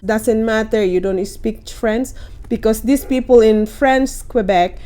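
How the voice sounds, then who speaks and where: A woman's voice: on the high side, a little slow, dark tone. A woman vlogging at home with casual advice, chatty and encouraging, often checking in with her viewers. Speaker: vlogger giving caregiver job advice. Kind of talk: casual advice vlog to camera. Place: at home in Canada.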